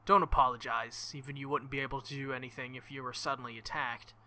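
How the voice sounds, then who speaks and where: A man voicing a panicked teenage boy hero in a visual novel, read in a hurried, urgent way. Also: mid-range voice, fairly even intonation, pushed and bright.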